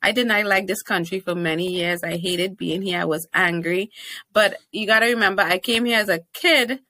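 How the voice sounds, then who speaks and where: A woman's voice: mid-range voice, moderate pace, sharp tone, no room sound. A young woman from Trinidad and Tobago with a Trinidadian accent, a confident and playful podcast guest who laughs often in casual interview chat. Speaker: podcast guest, TV host and speaker.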